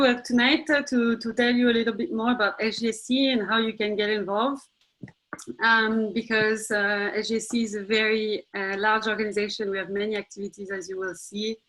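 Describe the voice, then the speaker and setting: A woman's voice: medium pitch, a bit bright, low-quality recording. A woman from France with a French accent, presenting an organization on a webinar with lots of fillers and enthusiasm. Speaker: executive director. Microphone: video call.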